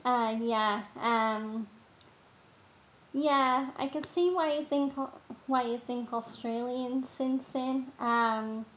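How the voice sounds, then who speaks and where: A woman's voice: on the high side, fairly even intonation, takes it slowly, fairly bright tone, poor audio. A young woman from England with an English accent chatting casually on her live stream, with many ums and uhs. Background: game music.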